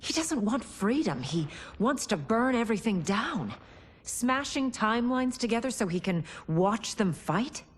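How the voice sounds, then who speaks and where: A woman's voice: a little high, very animated, a little slow, slight background noise. A woman playing a serious, concerned warrior in a video game cutscene, speaking earnestly and directly. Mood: grave, concerned.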